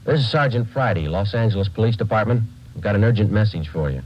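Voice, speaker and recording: An actor playing a terse, businesslike American homicide sergeant in a vintage radio drama, deadpan and matter-of-fact. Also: male voice, fairly low pitch, quick pace. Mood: grave, official. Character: terse, businesslike male homicide police sergeant narrating his case. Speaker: detective lead and narrator. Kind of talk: 1950s radio drama acting, clipped narration. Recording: muffled, faintly noisy vintage radio recording.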